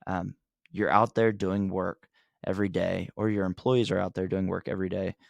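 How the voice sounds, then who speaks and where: Deep-voiced man giving a casual tutorial. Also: little pitch movement, moderate pace, creaky, dry recording. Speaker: host of marketing tutorial for contractors. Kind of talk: casual unscripted instructional talk.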